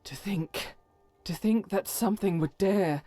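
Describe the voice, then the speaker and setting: A woman's voice: medium pitch, a little slow, mellow, no room sound, lightly acted. A woman voicing a devout, stern holy warrior who carries private doubt, speaking solemnly and formally, as in a fantasy game. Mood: shaken. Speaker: voiced follower character in a fantasy game mod. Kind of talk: scripted dramatic game dialogue.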